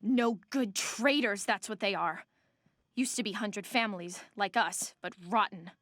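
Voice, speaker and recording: A woman's voice: medium pitch, unhurried, fairly bright tone, lightly acted. An actress voicing a haughty, determined young woman of a rich family in a video game, formal and self-assured. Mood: bitter.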